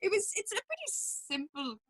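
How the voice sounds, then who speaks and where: A young woman from Mayo with an Irish accent, a musician talking casually about her work with animated, self-deprecating storytelling. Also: very high-pitched, moderate pace, no room sound, low-quality recording. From Mayo, Ireland. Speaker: musician guest. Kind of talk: casual interview chat.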